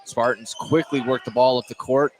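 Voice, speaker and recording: A man's voice: medium pitch, fairly even intonation, moderate pace. A man doing live play-by-play basketball commentary for radio, narrating in stop-start phrases and repeating words as he follows the action. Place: indoor basketball gym. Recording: clear gym noise with bouncing basketballs.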